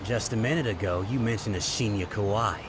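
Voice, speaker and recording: An actor playing a menacing, suspicious street thug in an English-dubbed crime game, confrontational and slangy. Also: male voice, lowish voice, brisk, background noise, close to an everyday voice.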